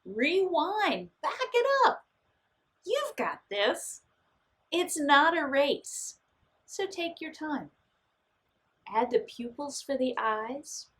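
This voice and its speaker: A woman leads a children's art lesson one step at a time, in a fairly high voice. Her pitch moves a great deal, and she takes her time between simple, reassuring instructions. Her phrasing is encouraging and aimed at young learners. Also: very animated, slow pace, pauses often, fairly bright tone. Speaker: art teacher.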